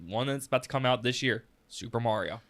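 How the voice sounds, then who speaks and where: A man's voice: mid-range voice, fast, fairly bright tone. A man hosting a casual discussion in an opinionated, emphatic, sweary manner. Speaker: podcast host steering the discussion. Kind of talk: casual chat.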